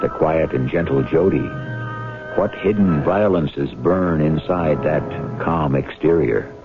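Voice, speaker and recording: Deep, gravelly male radio drama host. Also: slow pace. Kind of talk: dramatic scripted radio narration. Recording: tinny band-limited 1970s radio broadcast, music.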